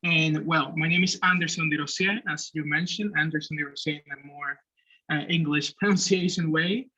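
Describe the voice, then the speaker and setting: A man's voice: fairly high pitch, steady tone, moderate pace, sharp tone, poor audio. A man in his twenties from the Dominican Republic, a native Spanish speaker with a Dominican accent, introducing himself as a panelist. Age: 20s. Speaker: human rights lawyer on a panel.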